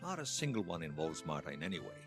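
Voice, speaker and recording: A man acting the part of a wistful, wry old hero in his seventies, reminiscing haltingly in a radio drama interview. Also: a bass voice, very animated, faint music behind. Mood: tender, subdued. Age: 70s. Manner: halting, reflective, self-deprecating. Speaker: interview guest.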